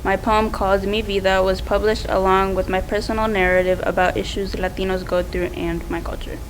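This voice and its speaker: A woman's voice: medium pitch, level tone, fairly quick, poor audio. Teen girl reading her prepared speech about herself, bit of background noise. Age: teens. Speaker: student award presenter.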